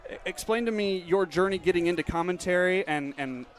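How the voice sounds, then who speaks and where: A man's voice: high voice, a little fast. A young man hosting a baseball radio broadcast from a stadium booth, mixing play-by-play calls with interview questions. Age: young adult. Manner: steady announcer delivery mixing calls and questions. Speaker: play-by-play announcer and host.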